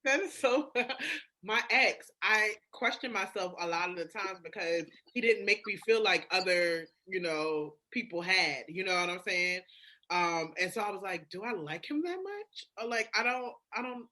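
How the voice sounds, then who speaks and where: A woman in candid, casual podcast conversation, frank and expressive, acting out her own thoughts. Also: medium pitch, moderate pace.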